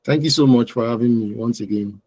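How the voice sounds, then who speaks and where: A man with a Nigerian accent answering as an interview guest, courteous and somewhat halting in his delivery. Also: mid-range voice, a little mellow, husky, dry recording. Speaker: interview guest, digital marketing expert.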